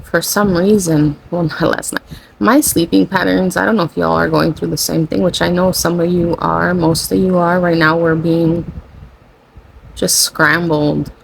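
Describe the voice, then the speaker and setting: A tired, low-energy spiritual channeler, a native Spanish speaker, talking casually and rambling, often saying "you know" and correcting themself. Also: flat delivery, measured pace, vocal fry, a little hiss or hum, poor audio. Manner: rambling, self-correcting, with frequent "you know" and light laughter. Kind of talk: casual spiritual vlog monologue.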